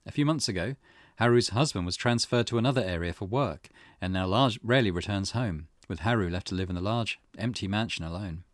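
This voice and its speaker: A man's voice: lowish voice, no room sound, clean studio sound. A man reading a visual novel aloud for a gaming video, with casual commentary of his own.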